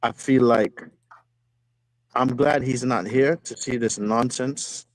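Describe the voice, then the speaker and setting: Low-voiced man ranting as a football fan. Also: fairly even intonation, takes it slowly, noisy background. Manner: emphatic, repeats words and phrases for stress. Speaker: fan caller on a football live stream. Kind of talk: impassioned fan rant, casual. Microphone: phone, video call.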